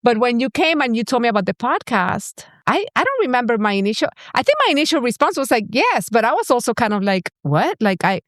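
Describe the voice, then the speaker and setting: A woman from Venezuela with a Venezuelan accent, co-hosting a casual podcast conversation in a candid, enthusiastic way with quick restarts. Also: on the high side, very animated, no echo, studio quality. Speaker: podcast co-host.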